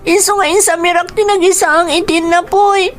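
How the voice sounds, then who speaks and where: A woman's voice: very high-pitched, fairly even intonation, a little fast, soft background music. A woman with an Ilocano accent playing a frightened, hurt young child in a radio drama, plaintive and whimpering. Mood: tearful, pleading. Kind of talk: Filipino radio drama acting.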